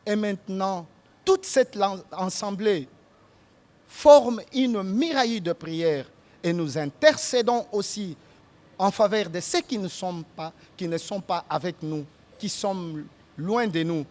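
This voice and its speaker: A man with a Congolese accent leading a solemn prayer at a memorial gathering, speaking in measured phrases; his first language is Tshiluba. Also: high-pitched, expressive, darkish tone. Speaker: master of ceremonies leading prayer.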